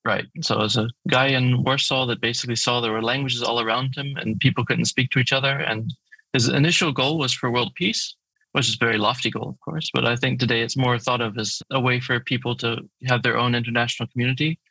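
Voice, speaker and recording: A man speaks as an interview guest with a husky, bright edge to his voice. He explains eagerly, building his points from examples. The sound is close and dry. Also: mid-range voice, steady tone, a little fast, no echo, poor audio. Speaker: guest, language project founder. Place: remote call from his home city.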